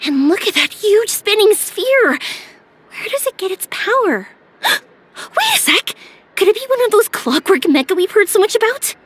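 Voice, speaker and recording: A woman voicing a bubbly, curious young woman traveler in a video game, chatty and excitable. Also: very high-pitched, measured pace, full character voice. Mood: excited, amazed. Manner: breezy, chatty, exclaiming.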